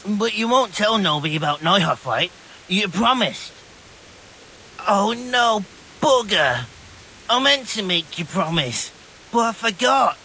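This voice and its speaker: Slow, rambling simpleton young bandit. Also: male voice, high voice, expressive, sharp tone, a little hiss or hum, exaggerated voice, rough recording. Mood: sheepish. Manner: rambling, hesitant, simple-minded, colloquial. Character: dim-witted young man, rambling, easygoing petty deserter bandit. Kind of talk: video game dialogue acting.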